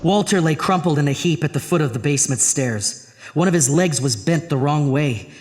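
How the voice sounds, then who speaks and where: A man playing the haunted narrator of a horror tale, reading in a grave, deliberate, intense dramatic style. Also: on the high side, animated, a little mellow, in a large hall, close to an everyday voice. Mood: horrified.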